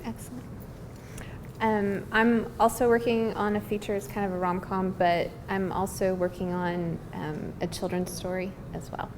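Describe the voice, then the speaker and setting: A woman's voice: medium pitch, flat delivery, normal speed. Earnest, hesitant woman in a reverberant hall. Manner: earnest, hesitant, searching for words. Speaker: screenwriter answering audience questions. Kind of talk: casual, unscripted Q&A talk. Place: live event hall. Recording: reverberant hall with background noise.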